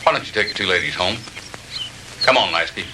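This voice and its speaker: Stern, deep-voiced police lieutenant, old film. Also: male voice, animated, a little fast, background noise. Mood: commanding. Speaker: film actor. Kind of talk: 1960s B-movie acting. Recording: old 1960s film soundtrack, loud music.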